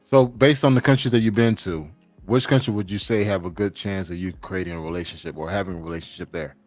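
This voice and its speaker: Lower-voiced guy asking curious questions on a podcast, dry close sound. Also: fast talker, a little mellow, no room sound, soft background music. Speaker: podcast host. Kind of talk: podcast interviewing.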